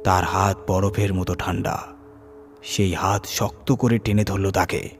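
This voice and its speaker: A man with a fairly low voice narrates a horror story. He reads dramatically, using suspenseful pauses and strong emphasis, with music clearly running behind him. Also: steady tone. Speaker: horror story reader and channel host.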